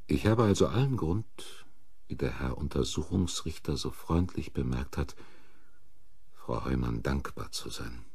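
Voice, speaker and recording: Very deep, husky, bitter accused man. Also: animated, unhurried, darkish tone, no echo, exaggerated voice. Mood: bitter, resigned. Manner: sardonic, brooding. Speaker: actor. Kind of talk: German crime radio play acting and narration.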